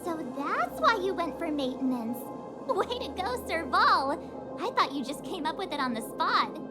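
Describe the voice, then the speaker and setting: Casting: perky, cheeky little girl companion for a game, tiny high voice, animated delivery over music. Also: a little slow, full character voice. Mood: excited. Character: cheerful young girl sidekick, curious and cheeky. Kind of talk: video game voice acting.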